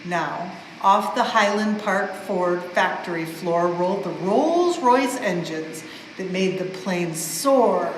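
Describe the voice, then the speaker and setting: A woman reading a rhyming storybook aloud in an expressive, measured way, as for a museum storytime. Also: on the low side. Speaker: museum staff member reading a children's book aloud. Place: indoors in a museum exhibit space. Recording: very echoey reverberant hall, faint background noise.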